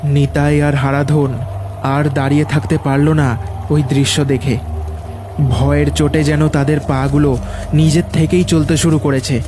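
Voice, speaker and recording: A man's voice: on the high side, little pitch movement, a little slow, over music. A man narrating a scripted ghost story in a dramatic storytelling style.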